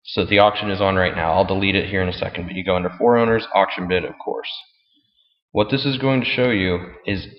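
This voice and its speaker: A man giving a casual, plainspoken step-by-step tutorial as he walks through a screen. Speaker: fantasy football league commissioner. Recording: muffled, boomy room with long reverb.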